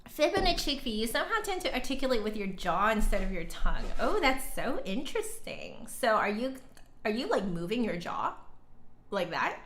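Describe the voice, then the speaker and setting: A woman chatting casually as a livestream host and flute teacher, conversational, with hesitant pauses and emphatic repeats. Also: on the high side, very animated, a bit bright. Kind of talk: casual livestream chat, answering viewer comments.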